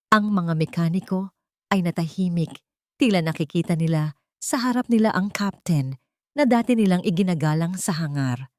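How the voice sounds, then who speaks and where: A woman reads a dramatic story aloud in a solemn, weighty manner. Her voice sits on the low side, and the recording is dry and close, with no room sound. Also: darkish tone, no room sound. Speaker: narrator.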